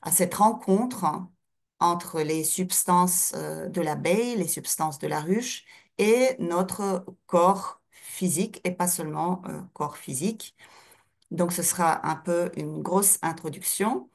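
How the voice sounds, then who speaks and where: A middle-aged woman gives a talk as a guest lecturer. Her voice sits a little low and has plenty of fry in it. She goes very slowly, often searching for the next word. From Russia and Ukraine. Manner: hesitant, searching for words. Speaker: guest speaker, naturopath. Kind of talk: online lecture introduction.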